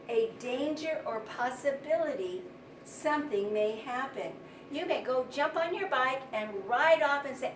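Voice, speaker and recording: A woman teacher with a high, very lively voice talks to young children. She instructs step by step, asks simple questions and invites repetition, recorded at a distance from the mic. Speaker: primary school teacher. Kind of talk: read-aloud lesson for young children. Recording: roomy, distant mic, faint noise.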